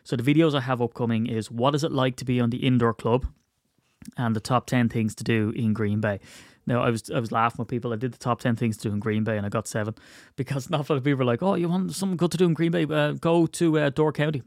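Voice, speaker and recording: A man's voice: mid-range voice, steady tone, fast, no room sound. Male podcast host, stuffy cold, rambling self-mocking chat, dry close mic. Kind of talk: casual solo podcast chat.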